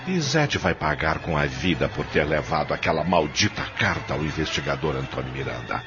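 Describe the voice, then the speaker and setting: A man's voice: a bass voice, animated, brisk, highs cut off, music in the background. A man playing a vengeful, domineering criminal in an audio drama, speaking with contempt and command. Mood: vengeful, menacing. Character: domineering, vengeful criminal eldest brother, farm heir.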